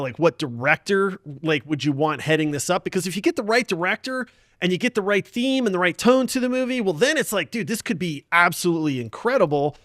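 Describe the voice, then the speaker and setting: A man hosting a casual gaming podcast with excitable, hyped-up banter. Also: high-pitched, no echo, clean studio sound. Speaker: podcast host.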